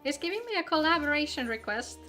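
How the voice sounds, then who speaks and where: High-voiced woman chatting playfully on stream. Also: very animated, fast talker, pushed and bright. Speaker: game streamer. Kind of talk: casual livestream chat while gaming. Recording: background music behind the voice. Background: music.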